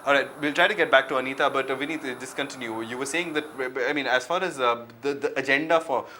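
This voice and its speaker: A male anchor steers a live TV panel in a bright, forward voice. He cuts in politely and often stammers on restarts. Faint noise sits in the background. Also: mid-range voice, fast. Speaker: TV news anchor.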